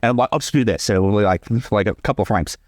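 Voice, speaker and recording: A man's voice: lowish voice, fast, darkish tone, dry recording, clean studio sound. A man giving informal, chatty creator commentary on his own fan series, with casual swearing.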